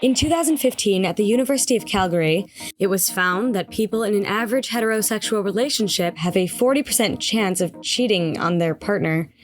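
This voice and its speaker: A woman's voice: mid-range voice, brisk, lightly acted. A woman playing a factual announcer who recites statistics in an audio drama. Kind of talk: scripted narration of statistics.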